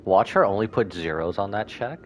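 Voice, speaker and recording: Low-voiced, very lively male game streamer. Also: brisk, a little hiss or hum. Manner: expressive, slangy. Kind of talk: casual live reaction commentary.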